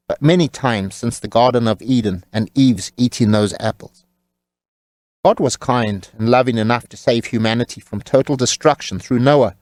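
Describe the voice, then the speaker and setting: A man's voice: mid-range voice, darkish tone, dry recording. A man narrating an audiobook as its author, in a wry, tongue-in-cheek manner.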